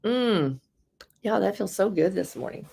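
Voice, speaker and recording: A woman with a slightly raspy voice hosts a casual live beauty product demo, chatting and rambling with viewers and laughing often. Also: medium pitch, expressive, measured pace, dark tone. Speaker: host presenting beauty products.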